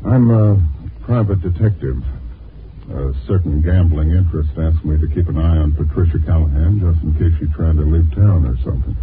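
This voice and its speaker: An actor playing a shady, businesslike private detective in 1950s radio drama, curt and matter-of-fact. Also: male voice, rumbling low, normal speed. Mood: curt, sly. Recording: muffled, hissy 1950s radio recording.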